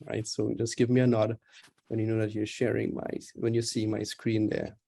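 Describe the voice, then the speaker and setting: A man's voice: fairly low pitch, little pitch movement, normal speed, vocal fry, dark tone. A man with a Trinidadian accent giving a conversational talk as a guest speaker in an online session, with frequent fillers. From Trinidad and Tobago. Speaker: guest speaker, digital anthropologist. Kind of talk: webinar presentation. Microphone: video call.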